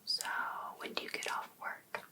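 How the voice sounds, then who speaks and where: A woman's voice: normal speed, natural voice. A woman playing a sweetly pushy, possessive female life coach in an ASMR roleplay, coaxing one client in a whisper.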